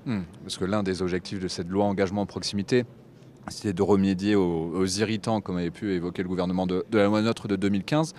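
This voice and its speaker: A man hosting a TV interview, with halting phrasing as he puts his questions. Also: low voice, fairly even intonation, darkish tone, slight background noise. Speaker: TV host interviewer. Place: TV set at a convention.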